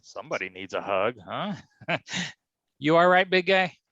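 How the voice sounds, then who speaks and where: A man's voice: on the high side, big pitch swings, normal speed, pushed and bright, no echo, dull audio, full character voice. An actor playing a wisecracking, stubborn private detective who talks in slangy tough-guy style in a staged reading. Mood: breezy, teasing. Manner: slangy, folksy tough-guy talk peppered with "huh?". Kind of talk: hardboiled detective stage acting.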